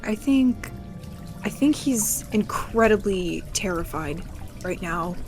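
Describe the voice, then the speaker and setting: A woman's voice: mid-range voice, animated, slow pace, a little mellow, soft background music. A woman talking casually as a player in a tabletop fantasy game.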